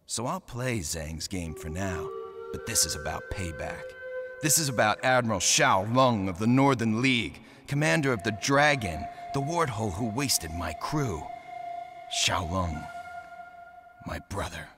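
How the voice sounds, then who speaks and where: Low, brooding, cocky young sailor. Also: male voice, animated, measured pace. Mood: bitter, determined. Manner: hard-boiled, wry storytelling. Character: vengeful young navy lieutenant turned pirate, cocky and brooding. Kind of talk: video game cutscene narration and dialogue. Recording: music under the voice.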